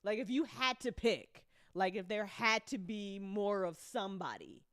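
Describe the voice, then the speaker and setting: A woman hosting a casual livestream, chatting informally with viewers in a playful, teasing, sweary and animated way. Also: mid-range voice, measured pace, no echo, studio quality. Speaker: host of an audio drama network's livestream.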